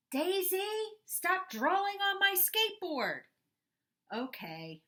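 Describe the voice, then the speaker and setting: A grumpy little boy cow voiced by a woman tells his storybook tale with dramatic indignation. The pace is very slow and emphatic, and the pitch sits a bit low for a female reader. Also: pushed and bright, heavily acted. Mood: furious. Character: grumpy young boy cow telling his rotten day. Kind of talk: first-person children's storybook narration.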